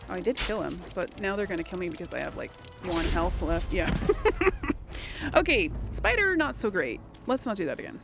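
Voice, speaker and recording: A woman's voice: fairly low pitch, very animated, normal speed, creaky. A woman giving casual gameplay commentary, thinking aloud and muttering complaints to herself as she plays. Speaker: let's play host. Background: video game music and sounds.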